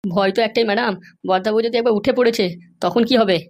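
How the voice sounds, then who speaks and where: Nervous servant sidekick woman doing it for a cartoon, worried n obedient, gravelly edge, talks super fast. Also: a little high, steady tone, natural voice. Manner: deferential, worried, questioning. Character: anxious, obedient accomplice serving a scheming madam. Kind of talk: comedy cartoon dialogue.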